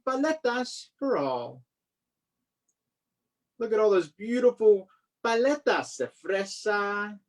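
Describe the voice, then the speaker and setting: An author, perhaps in their thirties or forties, reads their own picture book aloud to children in an animated, expressive way, with asides. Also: medium pitch. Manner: expressive, sing-song on refrains, commenting between lines.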